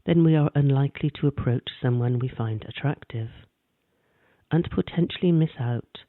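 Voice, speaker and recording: A man gently narrating a guided meditation in a calm, measured way. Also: medium pitch, no echo. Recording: dry, tinny phone-like sound.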